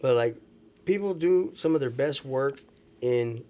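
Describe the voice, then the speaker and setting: A man's voice: mid-range voice, lively intonation, unhurried, dark tone. Slangy man telling stories on a podcast. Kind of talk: casual podcast chat. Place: small furnished lounge studio indoors. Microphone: close podcast microphone.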